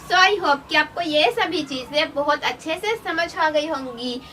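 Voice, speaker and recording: A woman's voice: sits high, bright, forceful tone. A woman teaching an online lesson, explaining step by step and mixing English terms into her Hindi. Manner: reads article titles slowly, then explains. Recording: distant mic with faint noise.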